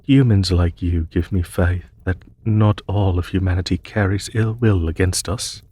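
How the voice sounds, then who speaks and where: Man acting a deep, husky, courteous demon emperor. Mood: gentle, grateful. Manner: formal, measured, gently spoken. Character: courteous, sorrowful demon emperor, weary of war. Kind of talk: slow, intimate audio roleplay.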